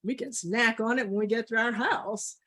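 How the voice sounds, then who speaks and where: A woman's voice: on the high side, moderate pace, fairly bright tone. A woman storyteller voicing an emphatic, fair-minded male dog friend in an animal folktale. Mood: eager.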